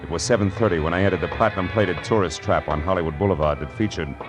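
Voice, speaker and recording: A man as tough hardboiled detective, deep voice, slangy, wry, vintage radio crime drama, clear underscore music. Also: fast, lightly acted. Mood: wry narration. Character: wisecracking, tough, cynical male private detective. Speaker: narrator and lead. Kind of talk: 1940s radio noir acting, hardboiled narration.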